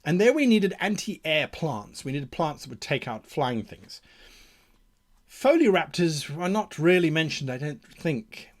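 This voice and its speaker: A man's voice: fairly high pitch, sing-song, a little slow. A man hosting an advice show in a casual, enthusiastic explaining style, with theatrical emphasis. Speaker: host of a game mastering advice show.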